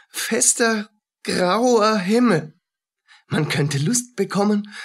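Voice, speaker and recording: An actor playing a poor soldier in a radio play, feverish and jealous, speaking in halting, brooding fragments. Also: male voice, high voice. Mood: dazed, brooding. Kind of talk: German radio play acting.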